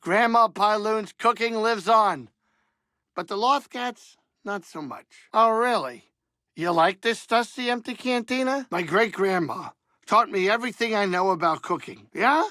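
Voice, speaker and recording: An actor playing a cranky but soft-hearted older alien saloon keeper in a video game, gravelly, wisecracking and folksy. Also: male voice, sits high, unhurried, dry recording, studio quality. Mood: cheerful, boastful. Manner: grumbling, wisecracking, excitable, folksy. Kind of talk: video game character dialogue.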